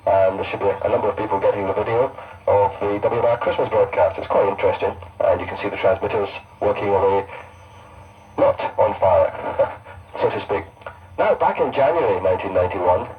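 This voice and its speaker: A man presenting casually and unscripted on a pirate radio station, heard over shortwave. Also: lowish voice. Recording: muffled, phone-like shortwave radio, distant.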